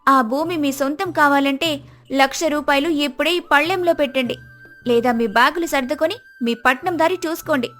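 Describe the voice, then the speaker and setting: A clever village wife from a moral tale, played by a woman, speaks in a high voice. She is sharp-tongued and pointed. Soft music sits behind her. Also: normal speed. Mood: firm, scolding. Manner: sarcastic, pointed.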